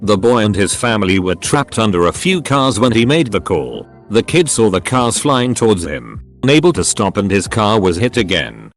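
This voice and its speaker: A man's voice: lowish voice, sing-song, normal speed, few pauses, faint music behind. A man reading forum stories aloud as a scripted online narration.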